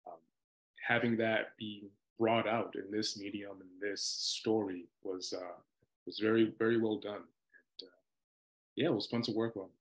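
A man's voice: lowish voice, steady tone, a little slow, low-quality recording. A man in his thirties sharing casual, reflective thoughts on a video call and circling back over phrases. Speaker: actor on a panel. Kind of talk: casual reflective panel remarks.

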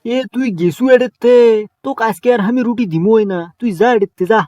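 A gruff cartoon shopkeeper, voiced by a man, who is touchy about his bald head. He talks with a Bogura accent in a fairly high voice. He scolds his customers. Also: normal speed, mellow, dry recording. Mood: irritated. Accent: Bogura dialect of Bangla.

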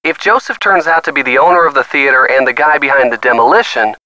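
A man's voice: mid-range voice, fast, sharp tone, no room sound, natural voice, rough recording. A man playing a supportive, easygoing young boyfriend with a wry, teasing manner in a detective video game. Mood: thoughtful, supportive. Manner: teasing, encouraging. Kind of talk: video game dialogue acting, phone conversation.

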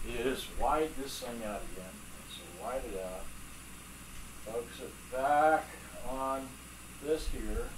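A middle-aged man giving a casual, halting, off-the-cuff how-to commentary as he works at a bench, picked up by a camera mic. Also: medium pitch. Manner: rambling, halting, talking as he works. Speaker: hobbyist repair video maker. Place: cluttered indoor workbench at home. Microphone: camera mic, off-screen speaker. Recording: clearly noisy home workshop recording.

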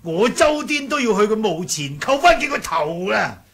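A brash, mocking eccentric from an old Cantonese martial-arts radio drama. His Hong Kong voice is gravelly and rather high, stretching phrases out with lively, teasing swings. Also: full character voice. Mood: excited. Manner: blunt, teasing, theatrical, drawn-out phrases. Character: brash, sarcastic, laughing eccentric martial-sect man.

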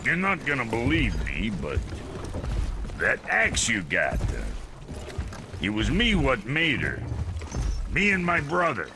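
An actor playing a cranky, boastful blacksmith in a fantasy video game, foul-mouthed and folksy with rough, ungrammatical speech and a gravelly voice. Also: male voice, mid-range voice, wildly swooping pitch, takes it slowly, pauses often, noisy background, full character voice. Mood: boastful haggling. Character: crude, cranky, boastful fantasy blacksmith, small-time trader. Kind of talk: comic video game character acting.

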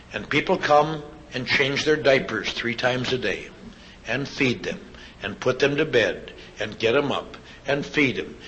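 A man's voice: mid-range voice, a bit bright. An older man giving a plainspoken personal testimony as a speaker, telling anecdotes with wry asides. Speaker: speaker at a recovery convention. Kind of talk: personal testimony speech to an audience. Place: live convention talk. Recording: dull, lo-fi live recording, faint noise.

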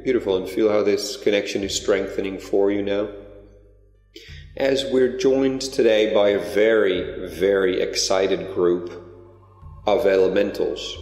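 A man leading a guided meditation in a slow, gentle, drawn-out way. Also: on the low side. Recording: roomy reverberant recording with soft music. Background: new-age music.

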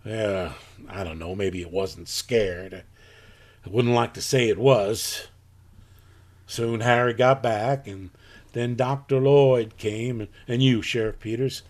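A man's voice: fairly low pitch, steady tone. An actor playing a hesitant male farmer who stumbles over his words in a live radio play, recorded at home over a video call. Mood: uneasy. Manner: halting, repeats words. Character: plain hesitant male farmer telling what he saw. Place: home closet or desk. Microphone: home mic over video call.

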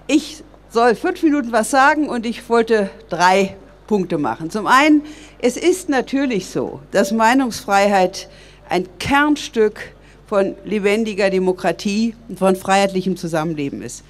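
An older woman from Berlin giving a measured, earnest public speech outdoors into a stand microphone. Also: medium pitch, expressive. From West Berlin, Germany. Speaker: public speaker. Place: outdoors by stone columns.

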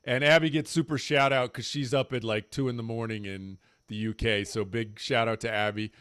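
A man's voice: mid-range voice, steady tone, moderate pace, fairly bright tone, clean tone, dry recording, clean studio sound. A man in his forties hosting a panel over a video call from his home, upbeat and chummy, with loose fillers. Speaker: panel host. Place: home room with shelves. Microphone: large desk mic on video call.

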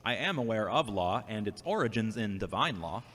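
A man's voice: lowish voice, animated, normal speed, a bit bright, husky. Man acting a wry, guarded guy, echoey. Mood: dry, reserved. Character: wry, guarded, reflective man, dryly formal. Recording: echoey hall-like reverb.

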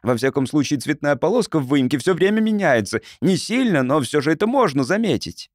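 A man's voice: on the high side, sing-song, a little fast, dry recording, clean studio sound, pitched above the performer's own voice. A man voicing an eager, inquisitive engineer neighbour who questions and explains in an audiobook story. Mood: eager, puzzled. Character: agitated, inquisitive male engineer neighbour, technically minded. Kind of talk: audiobook character acting.

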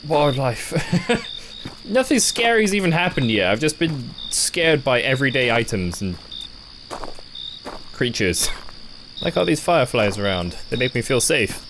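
Horror game commentator, mid-pitched, very animated, jumpy, sarcastic, noisy night ambience with insects behind. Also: measured pace, pauses often. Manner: jumpy, sarcastic, gasps and cries out when startled. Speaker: gaming YouTuber. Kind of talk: horror game playthrough commentary, reading on-screen text aloud.

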